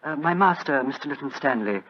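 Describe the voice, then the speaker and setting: An actor playing a deferential servant, apologetic and hesitant but persistent, in 1940s radio style. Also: male voice, on the high side, expressive, brisk. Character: deferential male English servant, meek but persistent messenger. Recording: noisy, dull old radio recording.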